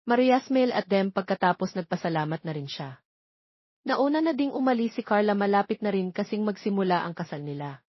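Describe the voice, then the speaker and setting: A woman's voice: medium pitch, lively intonation, a little fast, no echo, dull audio. A woman with a Filipino accent reading a scripted romance story as an audiobook narrator.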